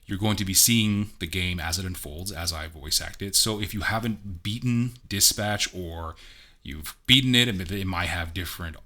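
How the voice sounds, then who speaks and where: A man with a deep voice and a bright, forceful tone, reviewing a game casually. He is chatty and self-deprecating, and he talks in a relaxed way. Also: normal speed. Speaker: game reviewer and amateur voice actor.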